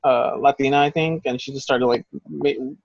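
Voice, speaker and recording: A man's voice: medium pitch, steady tone, moderate pace, vocal fry, dry recording, highs cut off. A man co-hosting a podcast in casual chat, talking in a heated, profane, rambling way. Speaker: podcast co-host.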